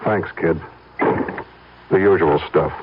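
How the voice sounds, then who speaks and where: A man playing a world-weary private detective in a radio spot, terse and deadpan. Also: low-pitched, extremely slow, heavy background noise. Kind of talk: 1970s radio spot, detective parody narration. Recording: muffled old radio, music behind.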